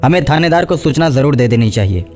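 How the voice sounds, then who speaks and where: A man's voice: mid-range voice, lively intonation, quick pace, darkish tone, faint music behind, natural voice. A man playing a firm, level-headed village headman who reasons and protests, in a cartoon horror story. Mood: reasoning. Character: assertive, level-headed male village headman, indignant at injustice. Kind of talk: Hindi animated horror story acting.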